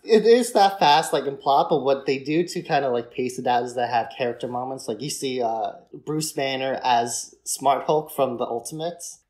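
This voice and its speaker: A man's voice: medium pitch, normal speed, hardly any pauses, a little mellow. A man co-hosting a podcast, talking through a film in a casual, explanatory, conversational way. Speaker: podcast host.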